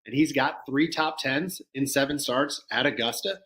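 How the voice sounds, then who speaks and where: A man's voice: medium pitch, moderate pace, a bit bright. A man earnestly breaking down golf betting odds in casual chat, often restarting his sentences.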